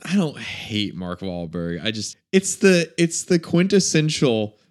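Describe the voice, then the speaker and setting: A man's voice: medium pitch, very animated, a little slow. A man co-hosting a casual film review, speaking in a blunt, informal way. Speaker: movie discussion co-host.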